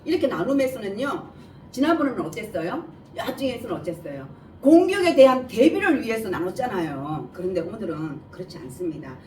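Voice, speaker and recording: A woman's voice: mid-range voice, very animated, fairly quick, a little mellow. A woman preacher with a regional Korean dialect and slightly imprecise, lisping articulation explains scripture verse by verse, putting questions to listeners. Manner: asks listeners rhetorical questions and answers them. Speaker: preacher giving a Bible message. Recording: echoey room, distant mic, faint noise.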